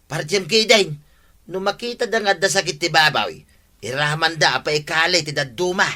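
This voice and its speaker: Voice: mid-range voice, normal speed, pushed and bright, natural voice. An actor playing an agitated villager in an Ilocano comedy radio drama, fretting in an exclamatory, emphatic way. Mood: worried.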